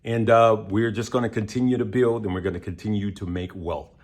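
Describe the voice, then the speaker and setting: A man with a very deep voice, worn hoarse and nearly gone, hosts his own investing channel. He speaks casually and emphatically, pressing his points and checking in with his audience over and over. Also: fairly even intonation, a little fast, darkish tone. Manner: emphatic, addresses viewers directly with repeated "okay?" and "right?". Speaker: stock and crypto channel host. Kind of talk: casual direct-to-camera advice.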